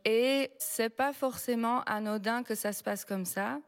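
A woman who is not a native French speaker, a researcher speaking as a panel guest, explaining at a measured pace with frequent hesitations. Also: a little high. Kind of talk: public panel talk. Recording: long hall reverb.

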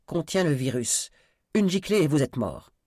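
A man's voice: medium pitch, expressive, a little fast, darkish tone, slightly rough, no room sound, clean studio sound. A man reading an audiobook, voicing a desperate, defiant male villain with a brutal, commanding, hot-tempered manner. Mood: threatening. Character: desperate, defiant man threatening with a deadly virus spray.

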